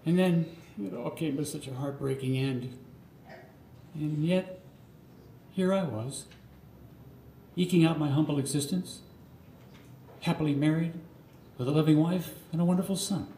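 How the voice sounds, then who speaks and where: Middle-aged guy narrating his own story live, highish voice, earnest + theatrical, roomy w background noise. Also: unhurried, pauses often, warm tone. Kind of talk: live autobiographical monologue, scripted narration. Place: live radio theatre stage.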